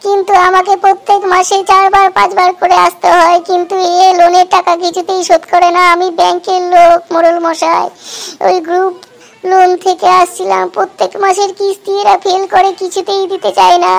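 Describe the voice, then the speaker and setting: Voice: extremely high pitch, completely flat delivery, fairly quick, vocal fry. A performer voicing a pleading, put-upon male loan collector in a comedy cartoon, whining and deferential. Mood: desperate, pleading. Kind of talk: amateur comedy cartoon dub.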